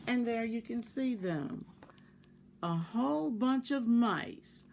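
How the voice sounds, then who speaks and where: A woman reading a picture book aloud for children in a measured way, pausing to address young listeners. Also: fairly high pitch, expressive, gravelly, a little mellow, a little hiss or hum. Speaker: volunteer children's story reader.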